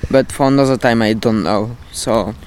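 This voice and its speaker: Young Frenchman speaking halting English. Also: mid-range voice, a little mellow, a little hiss or hum. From France. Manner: halting, simple English with many pauses. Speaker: interview guest, volunteer.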